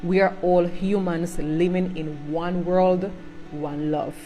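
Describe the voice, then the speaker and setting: A woman's voice: on the low side, level tone, slow pace, warm tone. A middle-aged Cuban woman whose Jamaican English still carries a Spanish edge. She talks to viewers in an earnest, pleading way, sliding into patois now and then, with faint music underneath. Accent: Jamaican English with a Spanish touch. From Cuba. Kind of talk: personal appeal to viewers.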